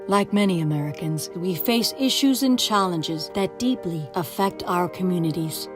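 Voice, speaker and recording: A woman reading an emphatic, scripted narration that builds to louder declarations. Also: fairly low pitch, normal speed. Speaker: narrator of a promo video. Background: music.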